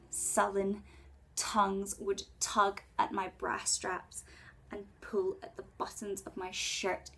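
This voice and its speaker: A woman in her twenties performing a spoken word poem in a breathy voice, with intimate, deliberate dramatic phrasing. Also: mid-range voice. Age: 20s. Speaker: poet. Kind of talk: spoken word poetry recital.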